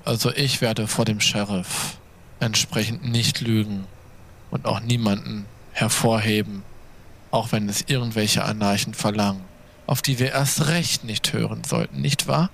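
A man playing a stern, sardonic vampire enforcer in an audio drama, speaking in a measured, formal, condescending way. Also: on the low side, fairly even intonation, creaky, fairly bright tone, full character voice. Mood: cool sarcasm. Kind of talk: German audio drama acting.

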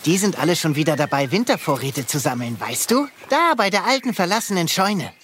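An actor playing a small, youthful, dreamy and evasive field mouse in a children's audio drama, with a cartoon-like voice. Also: male voice, fairly high pitch, sing-song, fairly quick, a bit bright, a little hiss or hum. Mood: casual, evasive. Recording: faint background ambience.

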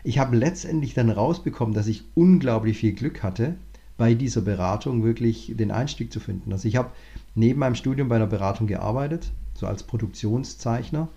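Male consultant guest, mellow tone, halting and thoughtful, slightly muffled recording. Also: mid-range voice. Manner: thoughtful, many fillers and restarts. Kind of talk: relaxed interview conversation. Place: lives in Cape Town, remote interview.

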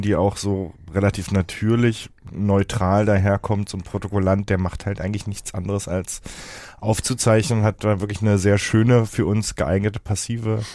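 A man with a very low, dark voice explains things in a casual discussion. He is a developer who pauses and searches as he goes. His voice is soft-edged and relaxed. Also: dry recording, studio quality. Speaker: project developer and panel guest.

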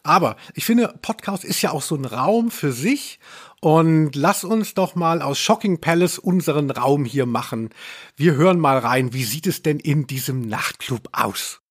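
A man with a fairly high-pitched voice talks as a podcast host. His delivery is casual and humorous, with a wry, teasing edge as he chats about audio dramas. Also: expressive, unhurried, husky.

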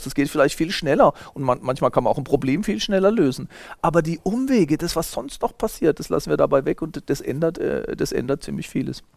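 A man's voice: a little high, gravelly, no echo. A man in his fifties from Germany, a sociologist being interviewed, explaining at length in a lecturing way with frequent hesitations and restarts. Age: 50s. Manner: lecturing, many fillers and restarts. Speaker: sociologist guest. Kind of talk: thoughtful explanatory interview talk.

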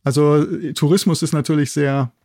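Middle-aged man, slightly high, mellow voice. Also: steady tone, a little fast, no echo, clean studio sound. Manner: enthusiastic, often ends phrases with a checking tag. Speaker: guest expert, zoology institute head and sound producer. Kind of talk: explanatory interview talk.

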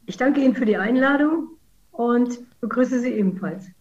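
Woman, mellow voice. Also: on the high side, animated, dull audio. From Saarland, Germany. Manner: measured, explanatory. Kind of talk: interview answers.

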